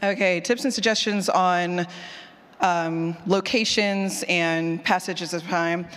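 Animated woman speaking in a reverberant hall. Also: fairly low pitch, slow pace. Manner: animated, self-deprecating, candid, quotes her own thoughts aloud. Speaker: presenter, fiction podcast writer. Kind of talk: casual conference talk with audience questions. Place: indoor session room with long reverb.

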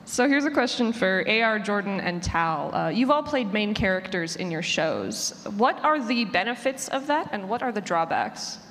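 A woman's voice: mid-range voice, animated, moderate pace, hardly any pauses, fairly bright tone. A woman moderating a live panel and putting questions to the speakers. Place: large room at a convention panel. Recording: echoey hall, clear background noise.

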